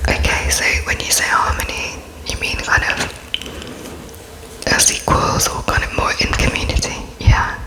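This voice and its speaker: A person whispering as an interviewer in an ASMR roleplay, attentive and softly inquisitive, with halting phrases as if writing notes while speaking. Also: poor audio. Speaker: interviewer noting down answers.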